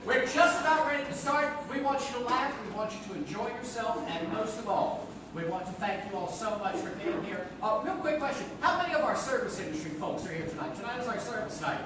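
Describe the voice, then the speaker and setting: A woman with a high-pitched voice welcomes an audience as the host of a stage show. She is picked up from a distance in a heavily reverberant theater. Clear background noise sits around her announcements. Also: animated, brisk, hardly any pauses. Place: small theater stage before a live audience. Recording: roomy echoing theater, distant mic, noisy.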